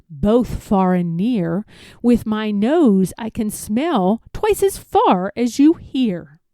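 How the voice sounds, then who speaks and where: A woman voicing a big, gruff, growling male bear from a storybook, scornful and boastful. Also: on the high side, warm tone, no room sound, clean studio sound. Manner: snorting, scornful, emphatic. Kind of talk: storybook read-aloud character voice.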